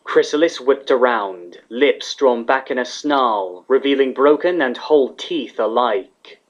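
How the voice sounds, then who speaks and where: A man's voice: mid-range voice, animated, measured pace. Male narrator for fiction reading, mid-range voice, somewhat muffled sound. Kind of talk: scripted story narration. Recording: slightly dull, lo-fi recording.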